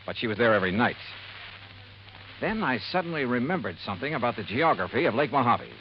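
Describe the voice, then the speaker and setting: An actor playing a hard-boiled investigator who narrates his own case in a 1950s radio drama, crisp and brisk. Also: mid-range voice, lively intonation, slight background noise, lightly acted. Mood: narrating. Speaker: narrator and lead detective. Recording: muffled old radio broadcast with music.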